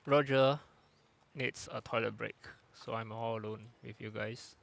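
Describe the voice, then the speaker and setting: A man from Singapore doing live sports commentary in a steady, even tone, with frequent pauses and fillers. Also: fairly low pitch, gravelly.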